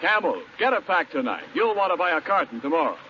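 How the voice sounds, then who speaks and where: Lively, higher-voiced 1940s radio announcer man. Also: fast. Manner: emphatic, salesmanlike. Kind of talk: 1940s sponsor pitch. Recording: noisy, muffled 1940s radio broadcast.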